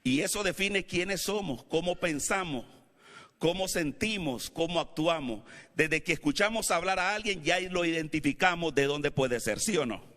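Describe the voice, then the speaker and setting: A male pastor with a Latin American Spanish accent, not Mexican, preaching a sermon in a church and building up to shouted calls to the congregation. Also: fairly high pitch, lively intonation, moderate pace. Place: church sanctuary. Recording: long hall reverb.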